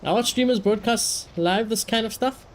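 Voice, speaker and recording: A man with a fairly high voice talks as a live streamer, animated and full of slang and swearing. His commentary is loose and casual, with a faint layer of noise behind it. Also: normal speed.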